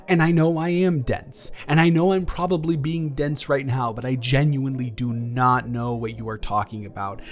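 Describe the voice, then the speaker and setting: A man's voice: medium pitch, natural voice. Man acting as a rambling, affectionate ex-boyfriend. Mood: confused, earnest. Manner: hesitant, rambling, playful, earnest. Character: awkward, affectionate, teasing young ex-boyfriend playing caretaker. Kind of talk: improvised intimate audio roleplay, one-sided conversation.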